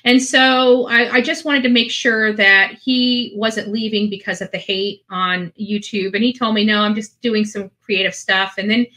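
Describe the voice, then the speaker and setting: A woman's voice: medium pitch, steady tone, normal speed, few pauses, bright, forceful tone. A woman host talking casually and ramblingly to her online followers, earnest and emphatic, often repeating phrases for effect. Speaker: online video channel host.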